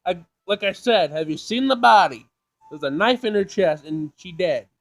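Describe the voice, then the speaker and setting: Casual man with a higher-pitched voice. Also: expressive, moderate pace. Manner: informal, slangy. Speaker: gaming video host. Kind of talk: casual visual novel let's play, reading aloud.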